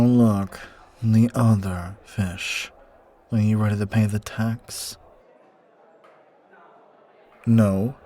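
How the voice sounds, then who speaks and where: Smug rich-kid bully guy acted by a man, lowish voice, slow menacing drawl, taunting, audio roleplay. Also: darkish tone. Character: smug, entitled young male academy bully.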